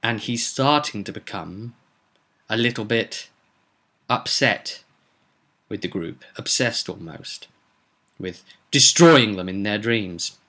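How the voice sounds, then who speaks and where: A man narrating a tabletop roleplay recap as game master, theatrical and emphatic, with deliberate pauses. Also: fairly low pitch, lively intonation, bright, forceful tone. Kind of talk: dramatic storytelling recap.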